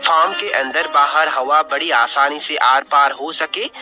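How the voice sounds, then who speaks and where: A man's voice: a little high, fairly even intonation, unhurried, bright, forceful tone, lo-fi sound, soft background music. A man giving scripted, step-by-step instructional narration as a poultry farmer, explaining methodically and repeating his points.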